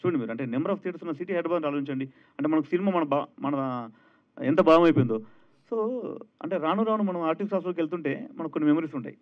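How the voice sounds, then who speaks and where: A man's voice: on the high side, quick pace. A man, a film director explaining things informally at a press event, often building lists of repeated phrases. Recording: tinny, narrow-band event sound.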